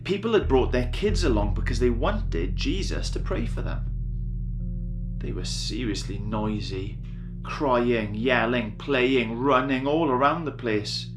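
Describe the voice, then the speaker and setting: A man's voice: medium pitch, expressive, unhurried. A man reading a children's story, expressively acting out the dialogue. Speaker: story reader. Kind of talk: scripted children's story narration. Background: guitar music.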